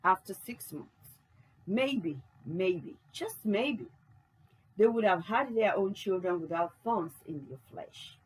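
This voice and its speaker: Stage narrator explaining events read by a woman, husky, lively pitch. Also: slow, low-quality recording.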